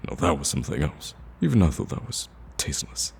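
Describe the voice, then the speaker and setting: An extremely low, gravelly villain, acted by a man for an ASMR roleplay. He is cocky and flirtatious, teasing the listener in a conversational drawl. His delivery swings widely in pitch while staying rough and husky. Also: sing-song, brisk, darkish tone, full character voice. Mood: amused teasing. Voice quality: deep, gravelly.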